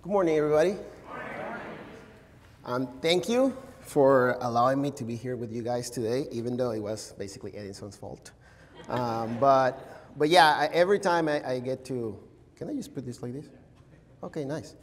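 A man's voice: medium pitch, normal speed, slight background noise. Venezuelan man preaching in a reverberant church. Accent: Venezuelan Spanish. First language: Spanish. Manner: casual, chatty, frequent "right?". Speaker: guest preacher. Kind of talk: sermon. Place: large church sanctuary. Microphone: podium mic, standing at pulpit. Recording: long reverb of a large church hall.

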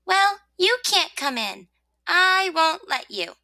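Storybook rosebud, played by a woman: small, prim, easily put out, very high and animated. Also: slow, gravelly, no room sound, clean studio sound, exaggerated voice. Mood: indignant refusing. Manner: indignant, emphatic. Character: prim, fussy little pink rosebud, female, easily upset. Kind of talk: children's storybook character voice.

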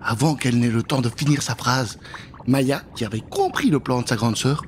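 A man's voice: mid-range voice, moderate pace, a little hiss or hum. A man in his thirties telling a children's story dramatically into a close studio microphone. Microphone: close studio microphone with pop filter.

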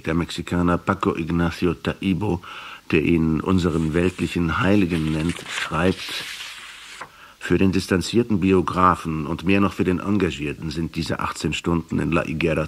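A man playing a pensive middle-aged historian who narrates a radio drama in a husky, reflective, measured way. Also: sits low, fairly even intonation. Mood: sober narration. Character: pensive 39-year-old male historian questioning a captive.

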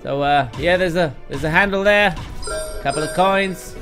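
A man's voice: high voice, moderate pace. A man in his thirties giving casual, swearing gameplay commentary to viewers from a room at home. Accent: put-on Texas drawl. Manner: swears freely, talks to viewers, bursts of frustration. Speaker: gameplay streamer. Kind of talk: casual let's play commentary. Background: game music.

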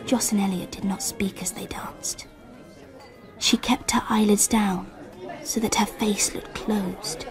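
An actress playing a sensitive girl on the edge of womanhood in a radio drama, with hushed, intimate, wistful delivery. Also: medium pitch, steady tone, takes it slowly, whispered, soft background music. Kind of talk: radio drama, first-person narration.

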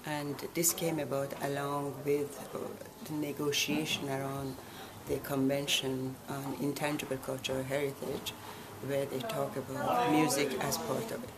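A woman in her sixties, born in Iran, answering interview questions in a measured, deliberate way with frequent pauses. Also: extremely low pitch, level tone, a loud crowd, low-quality recording. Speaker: guest, association president.

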